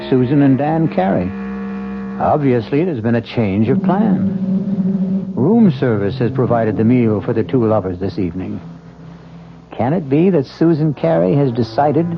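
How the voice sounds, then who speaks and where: A gravelly American man narrates a mystery with wide, theatrical swings of pitch. He is deliberate, wry and ominous, with music behind him on a dull radio recording. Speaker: host and narrator of mystery series.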